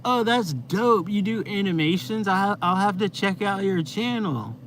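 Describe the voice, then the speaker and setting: A man's voice: sits high, lively intonation, normal speed, fairly bright tone. A young man hosting a casual live stream from inside his van, chatting with viewers in a laid-back, rambling way with lots of fillers. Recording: noisy vehicle ambience.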